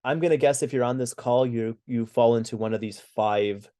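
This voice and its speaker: A man's voice: mid-range voice, normal speed, dark tone. A middle-aged American man co-hosting a webinar, presenting over a video call with lots of "uh" fillers and restarts. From United States. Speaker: webinar co-host.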